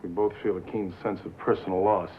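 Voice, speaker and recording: An actor playing a smooth, insistent broadcasting executive in a 1950s film drama, formal and coaxing. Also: male voice, low voice, fairly even intonation, brisk, close to an everyday voice. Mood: solemn, formal. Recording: tinny, narrow 1950s film soundtrack, faint noise.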